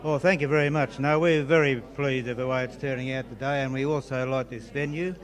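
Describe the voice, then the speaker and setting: A man's voice: medium pitch, fairly even intonation, a little fast. A man, chieftain of a gathering, talking casually in an outdoor event interview. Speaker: clan chieftain being interviewed. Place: outdoors at a Highland games. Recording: busy outdoor crowd noise behind. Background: crowd.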